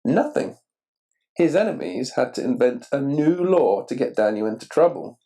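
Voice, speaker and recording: A man reading a children's picture book aloud expressively and deliberately, putting questions to the listener. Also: mid-range voice, expressive, gravelly, warm tone. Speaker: story reader.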